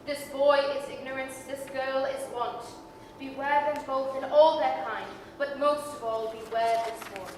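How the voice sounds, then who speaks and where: A woman's voice: sits high, steady tone, unhurried, darkish tone. A woman playing a solemn, stern male spirit in a declamatory 1930s radio-drama reading. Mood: grave, stern. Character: grand, solemn male Christmas spirit nearing death, stern and mocking. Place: large theatre hall, staged reading. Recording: distant, very echoey hall, faint noise.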